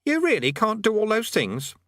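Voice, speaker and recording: A man voicing a scrawny, excitable male demonologist who commands in stammering, pompous archaic words. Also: high-pitched, moderate pace, no room sound, clean studio sound, voice pushed up, exaggerated voice. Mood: testy. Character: scrawny excitable middle-aged male demonologist, mad and pleading. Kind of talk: audiobook character voice.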